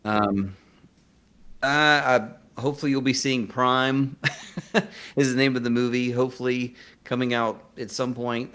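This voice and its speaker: A man's voice: mid-range voice, fairly bright tone. A man chatting casually as an interview guest, informal and self-deprecating in manner. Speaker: interview guest, screenwriter and podcaster. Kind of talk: casual chat.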